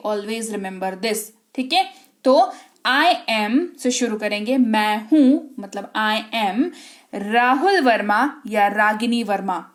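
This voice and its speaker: Young Indian woman teacher, fairly high voice, deliberate and emphatic. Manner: slow, emphatic, checks understanding often. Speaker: English teacher. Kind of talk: spoken English lesson.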